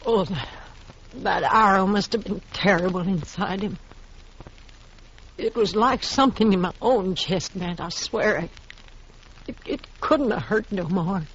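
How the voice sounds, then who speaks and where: A woman playing a grief-worn, stubborn frontier widow in a 1950s radio western, speaking haltingly in plain rural words. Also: mid-range voice, slightly rough. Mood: grieving, haunted. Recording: muffled old 1950s radio recording.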